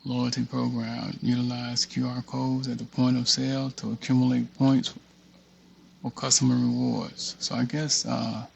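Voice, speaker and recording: A man's voice: medium pitch, level tone, a little slow, gravelly, a little mellow, highs cut off, slight background noise. A man hosting a casual tutorial, reading a document aloud and muttering offhand asides to himself as he works. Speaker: tutorial host. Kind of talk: casual screen-share walkthrough, reading a document aloud with off-the-cuff remarks.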